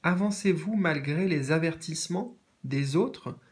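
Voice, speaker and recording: Husky French man with a cold. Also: fairly high pitch, unhurried. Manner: gentle, repeats phrases, many fillers. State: has a cold and sore throat. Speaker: tarot reader. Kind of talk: tarot reading, reading out card meanings. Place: indoors at a card table.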